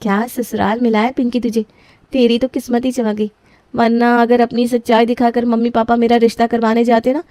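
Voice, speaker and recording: Young scheming daughter, self-pleased, high voice, story drama, acted by a woman. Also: level tone, a little fast, warm tone, dry recording, natural voice. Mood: gloating.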